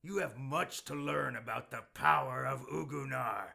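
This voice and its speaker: A man playing a pompous, menacing demon lord in an audio drama, commanding and gravelly. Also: mid-range voice, a little slow, pushed and bright, heavily acted. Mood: boastful.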